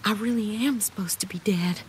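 A woman's voice: medium pitch, a little fast, background noise, natural voice. An actress playing a confused young woman left for dead, narrating her story in a casual, wry, hesitant way for an audio drama. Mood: bewildered, tense. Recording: dramatic music behind the voice.

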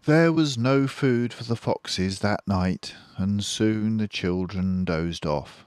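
An older man reading a children's book aloud slowly and expressively, close to the mic in a quiet room. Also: fairly low pitch, clean tone, dry recording. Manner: slow, expressive, doing all the voices. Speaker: storyteller.

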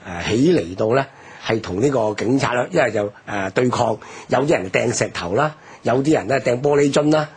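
An older man with a Hong Kong accent and a very husky voice. He recounts history for a radio talk, explaining things emphatically. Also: mid-range voice, expressive, fairly quick.

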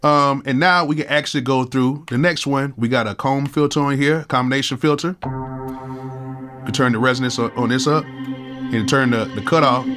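A man's voice: mid-range voice, steady tone, normal speed, fairly bright tone. Man, 30s, stuffy nasal cold voice, laid-back and slangy; home studio tutorial with faint music underneath. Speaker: music producer and YouTube host. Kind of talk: casual software tutorial.

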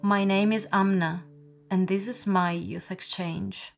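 A woman in her late twenties from Bosnia with a Bosnian accent, narrating a scripted documentary in a reflective, measured manner. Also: medium pitch, steady tone, creaky. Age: late 20s. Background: music.

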